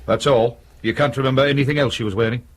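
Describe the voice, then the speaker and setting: Actor as fast-talking, blunt police sergeant. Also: male voice, mid-range voice, fairly even intonation, slight background noise, natural voice. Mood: businesslike, probing. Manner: brusque, colloquial questioning. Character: blunt, sardonic male police detective sergeant. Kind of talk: radio drama acting.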